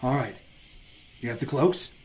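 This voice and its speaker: A man's voice: medium pitch, lively intonation, a little slow, slight background noise, close to an everyday voice. A man playing a wry, tough private detective in a noir audio drama, narrating and speaking in the first person. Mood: businesslike, wry. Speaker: lead actor and narrator.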